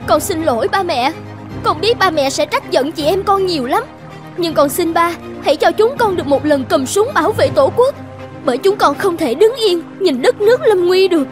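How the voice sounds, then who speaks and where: An actress voicing a determined, idealistic young daughter in a cartoon drama, earnest and resolute. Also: female voice, extremely high pitch, lively intonation, normal speed. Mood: resolute, pleading. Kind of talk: animated cartoon drama dialogue. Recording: clear music behind the voice.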